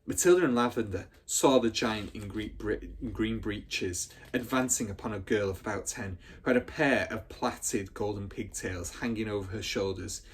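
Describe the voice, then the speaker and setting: A man's voice: on the low side, normal speed, few pauses, a little hiss or hum. A man reading a children's story aloud as a teacher would, with dramatic, emphatic expression.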